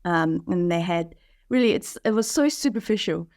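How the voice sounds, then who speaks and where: A podcast guest from New Zealand, a woman with a fairly low voice, tells her story with lively energy and frequent restarts. The sound is dry and close, as on a video call. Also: normal speed, no echo. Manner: animated storytelling with many fillers and restarts. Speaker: podcast guest, parish leader. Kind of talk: casual conversation over video call.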